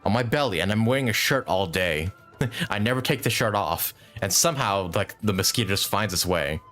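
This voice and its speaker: A man with a fairly low voice and lively, swinging intonation talks through a game on a livestream. He rambles casually and thinks out loud, cursing lightly. Music plays behind him. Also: a little fast. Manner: rambling, thinking aloud, mildly swearing. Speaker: live streamer. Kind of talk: casual gaming stream commentary.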